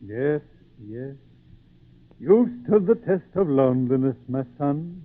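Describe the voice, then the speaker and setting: A man's voice: a little high, expressive, slow. An actor playing a kindly, thoughtful old father in an old radio drama. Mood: tender, thoughtful. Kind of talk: 1940s radio drama acting. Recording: tinny phone-like old radio recording.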